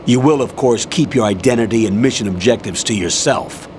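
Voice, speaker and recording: A man's voice: mid-range voice, fairly quick, darkish tone, a little hiss or hum, natural voice. An actor playing a male military colonel who gives orders in a firm, commanding way over a radio call. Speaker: commanding officer. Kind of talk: radio call in a game cutscene.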